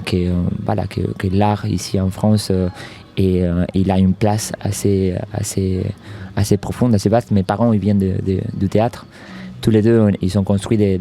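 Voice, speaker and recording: A man with an Argentine Spanish accent answers questions in a halting way, stopping and repeating words as he searches for them. His voice sits low with a warm, dark tone. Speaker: circus artist guest. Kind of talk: interview answers.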